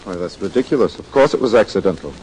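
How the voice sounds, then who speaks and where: Low, defensive country doctor, acted by a man. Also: expressive, quick pace, darkish tone, close to an everyday voice. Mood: defensive, indignant. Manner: clipped, defensive, formal. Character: defensive, indignant male country doctor, stiffly formal. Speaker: actor playing a doctor. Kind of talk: old-time radio drama acting. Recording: noisy old radio drama recording.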